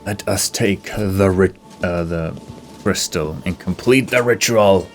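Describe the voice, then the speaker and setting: Low-voiced man casually commentating a game. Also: takes it slowly, a little hiss or hum. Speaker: gamer commentating on own playthrough.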